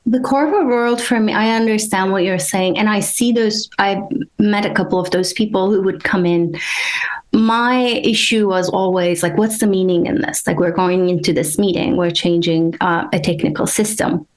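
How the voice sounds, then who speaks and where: A woman from Turkey, a guest in an interview, speaking hesitantly and thoughtfully with many pauses and ums. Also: mid-range voice, steady tone. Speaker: guest, energy healer. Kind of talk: casual interview talk.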